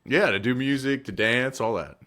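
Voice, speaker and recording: A man's voice: mid-range voice, moderate pace, bright, forceful tone. A man hosting a podcast interview, asking long, rambling questions full of "you know". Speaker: podcast host. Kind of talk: casual interview.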